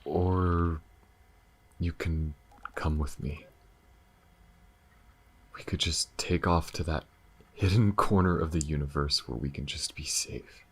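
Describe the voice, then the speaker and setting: A man playing a gentle, battle-weary alien lover in an intimate ASMR roleplay, speaking softly and haltingly with a husky, breathy voice. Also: rumbling low, heavily acted. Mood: tender, earnest. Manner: soft, halting, hesitant, sighing delivery.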